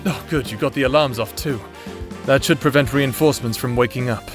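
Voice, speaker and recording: A man playing a terse, wary prisoner leading an escape in an audio drama, brisk and no-nonsense, with music behind. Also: mid-range voice, natural voice. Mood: planning.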